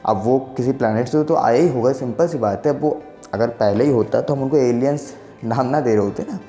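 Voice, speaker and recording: Mellow-voiced young man explaining, echoey room. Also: mid-range voice, brisk, faint music behind. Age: 20s. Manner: rambling, repeats filler checks for agreement. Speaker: science explainer channel host. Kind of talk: casual explainer talk to camera.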